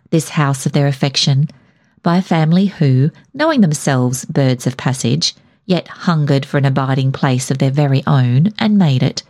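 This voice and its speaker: Low-voiced woman reading a literary story. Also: dark tone. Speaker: story reader. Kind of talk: scripted literary narration of a short story.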